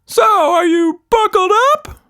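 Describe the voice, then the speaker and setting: A man voicing a zany, excitable, playful monster in a madcap, exclamatory storybook manner. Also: very high-pitched, slow, no room sound, studio quality, voice pushed up, full character voice. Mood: gleeful.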